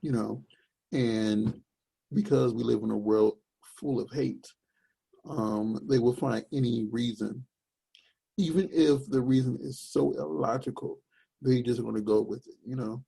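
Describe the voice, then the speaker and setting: A young man with a deep, gravelly voice talks casually on a podcast. His tone is husky and mellow, and he wanders through his points with many fillers. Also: unhurried, low-quality recording. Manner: rambling, searching for words with many fillers. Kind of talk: casual chat.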